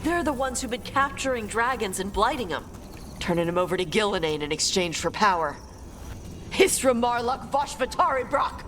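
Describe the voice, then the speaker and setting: An actress playing a stern, battle-hardened dragon slayer, fierce and resolute, speaking in grave, emphatic short phrases. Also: female voice, a little high, animated, moderate pace, natural voice. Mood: angry resolve. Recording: clear background game noise.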